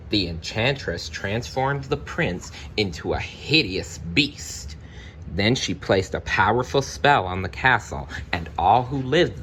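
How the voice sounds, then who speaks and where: A man reading a children's story aloud, with expressive, deliberate narration and the odd personal aside. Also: sits low, slight background noise. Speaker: storybook reader.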